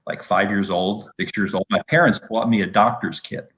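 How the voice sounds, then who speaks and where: A middle-aged man with a low voice talks as an interview guest, casually recounting his own life. He speaks in a loose, rambling way, often repeating words as he finds the next phrase. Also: fairly even intonation, normal speed, fairly bright tone. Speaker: physician-scientist guest. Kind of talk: casual personal storytelling in conversation.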